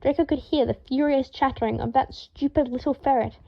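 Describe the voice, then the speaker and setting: A woman with a fairly high voice narrates fiction expressively from a script. The recording is slightly dull, like a home-made audiobook. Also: steady tone, fairly quick, creaky.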